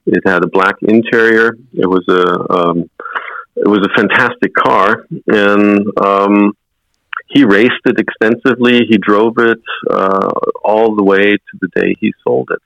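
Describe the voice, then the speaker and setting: A man of sixty reminisces as an interview guest, telling anecdotes in an unforced conversational style. His voice sits low and has a gravelly fry. The sound is dry and a little dull, like radio. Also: steady tone, measured pace, a bit bright, dry recording. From born in United States, moved to Germany as a child.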